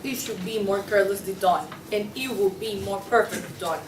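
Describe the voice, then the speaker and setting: A woman's voice: mid-range voice, brisk, lightly acted. A woman reading the part of a lofty philosopher who pronounces solemn paradoxes in a stage play. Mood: lofty, assured. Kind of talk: student table read of a stage play. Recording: distant echoey room with clear noise.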